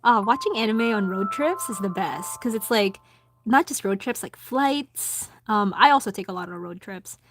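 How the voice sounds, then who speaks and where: A young woman with a Filipino accent chatting casually with her livestream viewers while drawing, soft-spoken with lots of ums. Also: mid-range voice, expressive, normal speed, slight background noise. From Philippines. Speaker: livestream host. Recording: background music. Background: music.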